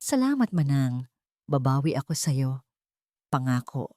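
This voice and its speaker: Heartbroken young heir read by a female narrator, earnest and shaken, with animated intonation, for an audio romance drama. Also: rumbling low, a little slow, no echo, studio quality, voice pushed down, full character voice. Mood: grateful, resolute.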